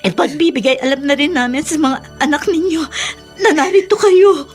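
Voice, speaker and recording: An actress playing an earnest, respectful young Filipino niece in a radio drama, speaking politely and a little hesitantly. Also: female voice, high-pitched, moderate pace, soft background music, natural voice.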